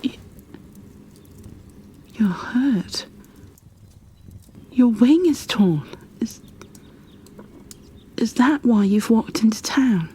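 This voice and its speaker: A woman playing a kind, flustered young bookkeeper in a fantasy ASMR roleplay, speaking softly and breathily, often near a whisper, with gentle hesitations and apologies. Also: on the high side, animated, drawn-out words, long pauses, husky, heavily acted. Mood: worried, caring. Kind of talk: ASMR roleplay, addressed to the listener.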